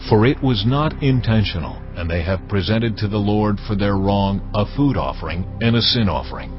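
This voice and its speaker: A man playing God in a dramatised scripture reading, solemn, commanding and measured as he gives laws. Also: fairly low pitch, natural voice. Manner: measured, authoritative, deliberate. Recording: slightly dull audio with music behind. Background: background music.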